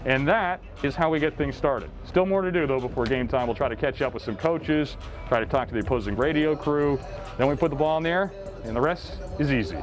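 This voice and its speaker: A man's voice: medium pitch, a little fast. A man talking casually and explaining things step by step, like an announcer giving a behind-the-scenes tour. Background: background music.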